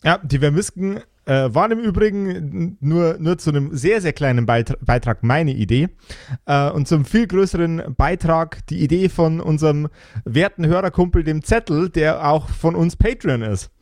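A man hosting a casual podcast, talking in a halting, playful way and stretching out words. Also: fairly high pitch, darkish tone, no room sound, clean studio sound. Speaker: podcast host. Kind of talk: casual chat and supporter thank-you roll call.

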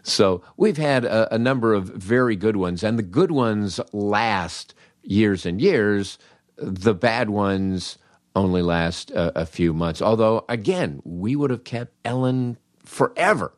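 An older American man hosting a podcast, telling humorous anecdotes in a conversational, wry and animated way. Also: fairly low pitch, slow, no echo, studio quality. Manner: wry, animated, acts out dialogue in his stories. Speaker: podcast host.

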